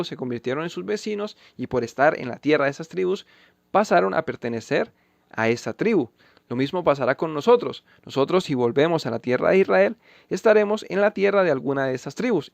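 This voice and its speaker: A man reading Bible verses and giving scripted commentary, measured and emphatic. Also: on the high side, creaky, no room sound. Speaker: channel host refuting a pastor.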